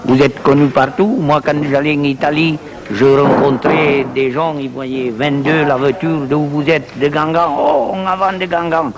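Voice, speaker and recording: A man's voice: fairly high pitch, steady tone, normal speed, heavy background noise. A man born in Italy, a volunteer speaking plainly and unhurriedly in an interview. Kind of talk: casual interview.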